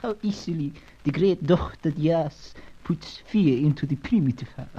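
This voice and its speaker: A man's voice: fairly high pitch, lively intonation, moderate pace, warm tone, slightly rough. A man playing a boastful, menacing, reclusive mad scientist in a melodramatic adventure audio drama, with grandiose, theatrical delivery. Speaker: villain. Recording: dull lo-fi audio.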